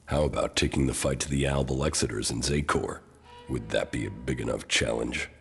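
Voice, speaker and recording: Gruff, curt warrior commander for a game; rock-bottom pitch, gravelly, clipped and flat delivery. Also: male voice, a little fast, soft background music. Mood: terse. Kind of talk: video game character dialogue.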